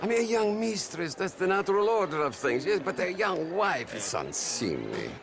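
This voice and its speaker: Theatrical Italian crime boss, swooping pitch. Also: male voice, huge pitch swings, normal speed, heavily acted. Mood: gleeful contempt. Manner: theatrical, mocking, chuckling. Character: rich, contemptuous, gleefully sinister Italian crime boss. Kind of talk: video game cutscene acting. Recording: clear outdoor party noise behind.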